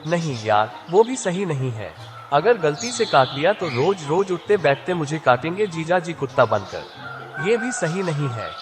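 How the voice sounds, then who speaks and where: A man voicing a sly, talkative young brother-in-law in a cartoon story, in a chatty, lively manner. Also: a little high, moderate pace, music in the background. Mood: grumbling. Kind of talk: cartoon voice acting.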